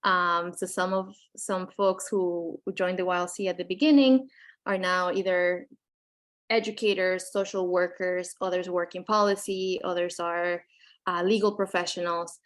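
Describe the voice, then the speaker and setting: A woman guest reflecting on her advocacy work, speaking haltingly with many restarts. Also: lowish voice, level tone. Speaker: guest, immigrant rights advocate. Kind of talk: podcast interview answers.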